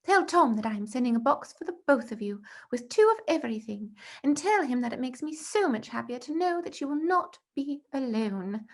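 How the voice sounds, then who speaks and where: A woman's voice: high voice, animated, moderate pace, hardly any pauses, voice pushed up. A woman voicing a caring mother in a storybook, sad, tender and reassuring as she reads out her letter.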